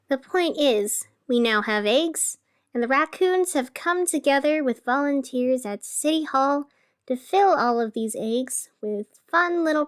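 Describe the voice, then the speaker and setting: A woman narrates a fictional city radio show in a fairly high voice. Her delivery is very slow and conversational, with a wry, whimsical touch. Faint music plays behind her. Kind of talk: scripted fictional community radio narration, chatty.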